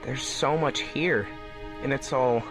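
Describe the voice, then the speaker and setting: A man's voice: medium pitch, slow pace, over music, natural voice. A man playing an anxious young male hedgehog hero in a fan fiction audio drama reading. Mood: troubled, hopeful.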